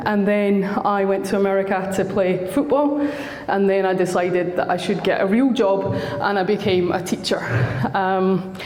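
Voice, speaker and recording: A woman's voice: medium pitch, a little mellow, slight background noise. A Scottish woman working as a school counsellor, giving a friendly, chatty talk to parents with a slightly sore throat. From Scotland. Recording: echoey hall recording.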